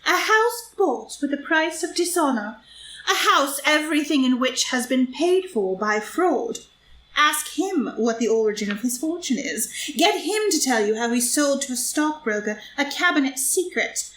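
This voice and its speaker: A woman's voice: high voice, expressive, moderate pace, fairly bright tone. A woman playing a scheming, amused society blackmailer with arch, taunting theatrical delivery in a stage play reading. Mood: triumphant, taunting. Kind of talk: stage play read as audio drama. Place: indoor room, live group reading. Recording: roomy live group reading, faint noise.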